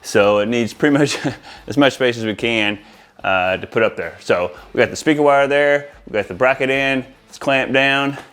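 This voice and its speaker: A man's voice: mid-range voice, normal speed, a bit bright, clear, resonant tone. Casual man presenting a hands-on install. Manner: informal, addresses viewers as guys. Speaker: audio-video installer presenting to viewers. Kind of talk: casual product demo and install walkthrough.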